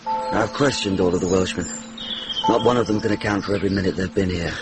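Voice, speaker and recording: An actor playing a practical, candid medieval lord and deputy sheriff in a radio drama, direct and matter-of-fact. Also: male voice, deep voice, fairly even intonation, fast, music in the background, lightly acted. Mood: businesslike. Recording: noisy radio drama recording.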